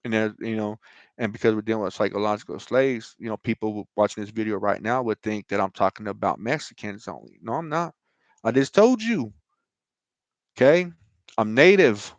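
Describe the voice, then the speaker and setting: A man's voice: medium pitch, dry recording. A man hosting his own online show, talking informally and emphatically about scripture and his own grievances, with many "right?" and "you know" asides. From United States. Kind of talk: informal religious talk to camera.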